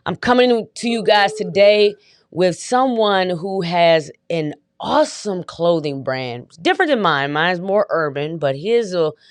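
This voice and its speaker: A woman's voice: on the low side, lively intonation, measured pace, dry recording, studio quality. A woman hosting a podcast in a hyped, slangy, upbeat way, casually chatting with her guest. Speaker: podcast host. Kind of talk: casual interview chat.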